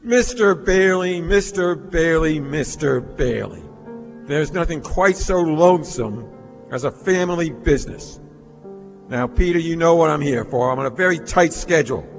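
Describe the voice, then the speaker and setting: Radio play villain: male actor as scornful, wealthy old man, higher-set voice, reverberant stage. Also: lively intonation, normal speed, faint music behind. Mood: cold, scornful. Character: rich, mean, scornful old businessman.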